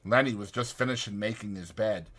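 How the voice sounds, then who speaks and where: A man's voice: lowish voice, brisk, a bit bright. A man reading scripted literary narration as an audiobook narrator.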